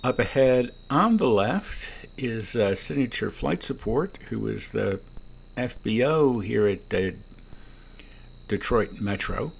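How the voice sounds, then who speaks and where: A man who is a pilot, giving calm explanatory commentary on his own flight from the cockpit of a small plane. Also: medium pitch, takes it slowly, vocal fry. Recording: noisy small-plane cockpit, radio transmissions.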